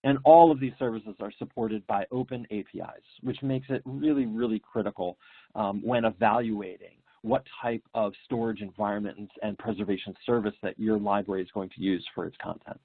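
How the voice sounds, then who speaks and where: Brief: mid-pitched man hosting a webinar, upbeat presenter tone, dry close sound over a phone-like line. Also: steady tone, fairly quick, husky, no echo. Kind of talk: product presentation. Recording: dry, tinny online call audio.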